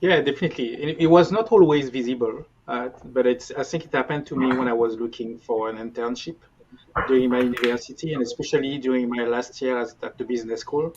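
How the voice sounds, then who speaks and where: A man in his forties speaks as a panelist, recalling his past in a measured, slightly hesitant manner. His voice is at a middle pitch, heard through muffled, lo-fi audio with a faint noise underneath. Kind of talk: conversational recollection.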